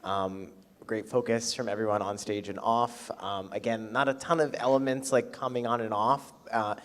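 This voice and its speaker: A man's voice: on the low side, fairly even intonation, moderate pace. A man giving casual feedback to performers as an adjudicator in a reverberant hall. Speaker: festival adjudicator.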